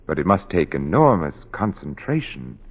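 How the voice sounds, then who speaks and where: An actor playing a grave, guarded lawyer with a troubled conscience, speaking earnestly and formally in a 1940s radio drama. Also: male voice, extremely low pitch, sing-song, normal speed, breathy edge, exaggerated voice. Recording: dull 1940s radio recording.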